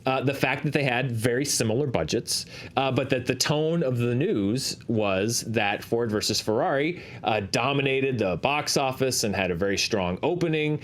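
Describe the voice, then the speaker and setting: Guy hosting a chart show, medium pitch, explains numbers in a measured chatty way. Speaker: host of a box office chart show. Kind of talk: conversational analysis of box office figures.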